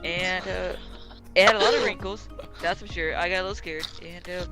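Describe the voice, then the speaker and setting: A man telling a rambling comic anecdote among friends, halting and often referring to himself in the third person. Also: sits high, fairly even intonation. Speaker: storyteller. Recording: music playing behind the voice.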